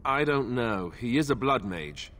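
Wary warrior, medium-pitched voice. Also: male voice, lively intonation, measured pace, fairly bright tone, a little hiss or hum. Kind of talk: video game voice acting.

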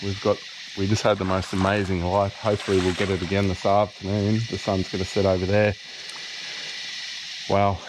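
A man's voice: deep voice, flat delivery, moderate pace, noisy background. A man hosting a casual outdoor vlog on a tidal mudflat, chatty and teasing, recorded on a handheld camera. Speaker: host.

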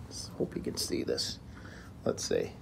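A man in his forties, a police officer, reading a picture book aloud for young children in simple words with stressed key words, recorded on a phone. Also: measured pace. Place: small tiled indoor room. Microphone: phone at arm's length. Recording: clear background room noise, phone recording.

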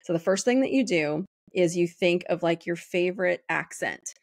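A woman's voice: lowish voice, animated, no room sound, studio quality. A woman hosting a podcast interview, bubbly and playful in casual chat. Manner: bubbly, playful, quick bursts of enthusiasm. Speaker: podcast host.

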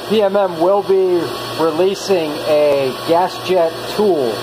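A man walking through a gunsmithing repair at his workbench in a relaxed, plain-spoken way. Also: on the high side. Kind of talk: hands-on workshop tutorial. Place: home workshop at a workbench with a vise. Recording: loud workshop noise behind voice.

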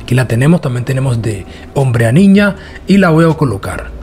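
A man narrating a casual software tutorial in a chatty, repetitive way. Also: medium pitch, very animated, fairly quick, soft background music. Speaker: tutorial host.

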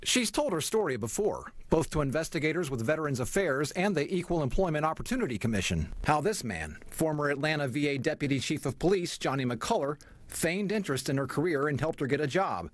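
A man's voice: on the high side, expressive, fairly quick. An American man in his forties, an investigative television reporter reading scripted news narration.

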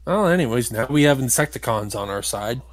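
A man talks casually over a video game he is playing, at a medium pitch. He has a grumbling, critical streak and swears mildly as he explains things like a let's play host. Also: expressive, brisk.

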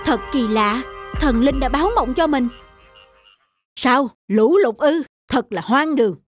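An earnest, anxious young villager from a folktale cartoon, acted by a woman. The voice is high and pleading. Music plays clearly behind it. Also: expressive, measured pace. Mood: anxious, urgent. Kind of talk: cartoon voice acting.

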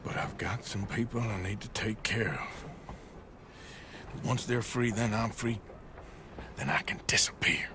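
An actor playing a weary, tender American outlaw with a gruff, husky voice in a Western video game. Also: male voice, lowish voice, takes it slowly, long pauses, in a whisper. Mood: torn, subdued. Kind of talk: cinematic game dialogue.

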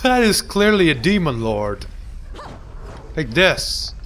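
Bright-voiced joking gamer man. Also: fairly high pitch, big pitch swings, slow, a little hiss or hum. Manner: goofy, sarcastic, hums and mock voices. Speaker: let's play commentator. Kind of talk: casual gameplay commentary.

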